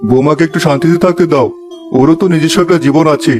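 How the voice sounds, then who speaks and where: A man's voice: on the high side, fairly even intonation, fast talker, natural voice. A man voicing a kindly older father-in-law in a comedy cartoon, chiding and reasonable. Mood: exasperated. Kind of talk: animated comedy story acting. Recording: loud music behind the voice. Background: music.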